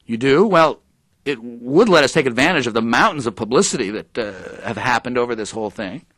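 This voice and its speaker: An actor playing a hesitant, smitten reporter in an audio drama, with a halting, stammering delivery. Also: male voice, mid-range voice, animated, fairly bright tone, no echo. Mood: tentative. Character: hesitant, smitten male reporter living with his girlfriend.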